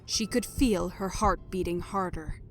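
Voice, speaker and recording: A woman reads a dramatic scripted story as narrator, letting phrases fall into a hushed breath. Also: on the low side, a little slow. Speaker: narrator of a fan fiction audio production. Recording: background music under voice. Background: music.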